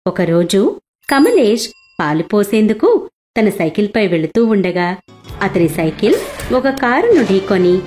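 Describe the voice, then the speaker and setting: A woman's voice: mid-range voice, big pitch swings, a little slow, pauses often, darkish tone. A woman storyteller reading a scripted devotional moral tale as narration. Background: background music.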